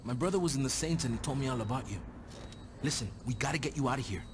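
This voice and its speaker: A man's voice: mid-range voice, close to an everyday voice. An actor playing an eager, earnest young prison inmate and would-be gang recruit in a video game, pressing his case insistently. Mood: earnest pleading. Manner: earnest, insistent, fast-talking. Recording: game audio over vehicle and ambient noise.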